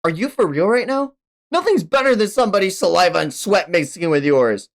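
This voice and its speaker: A man voicing a brash, crude, excitable teenage boy with a chainsaw head from a manga. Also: high-pitched, brisk, pitched above the performer's own voice. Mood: earnest, indignant.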